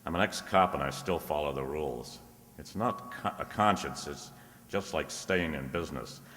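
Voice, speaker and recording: A man's voice: very deep voice, moderate pace, a bit bright. A man playing a breezy, teasing ex-cop private detective in an old-time radio drama style, recorded in a very reverberant space. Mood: wry, matter-of-fact. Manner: breezy, teasing, first-person hardboiled narration. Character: wisecracking ex-cop private detective, unflappable, flirtatious. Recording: very reverberant room or hall.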